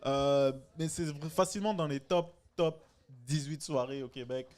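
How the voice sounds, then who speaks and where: Brief: thirties male comic from Senegal, slightly high voice, joking and chatty. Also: moderate pace, over a noisy crowd. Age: 30s. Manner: joking, laughs often, many restarts. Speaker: comedian guest. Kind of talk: casual live podcast chat. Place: live venue before a stand-up show.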